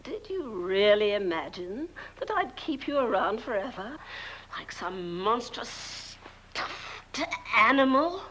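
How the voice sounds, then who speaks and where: An actress playing a scheming, murderous woman in a campy horror-comedy film, cooing sweetly and mockingly, shifting between hushed and shrill. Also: very animated, slow pace, full character voice, poor audio. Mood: mocking, gloating.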